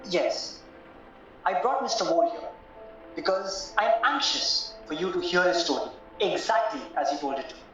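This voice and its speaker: A woman's voice: fairly low pitch, expressive, moderate pace, a bit bright. A woman playing a formal, earnest solicitor in an audio play, speaking precisely. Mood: grave, formal. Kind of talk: stage-style audio play acting. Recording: very echoey, distant, muffled, music behind.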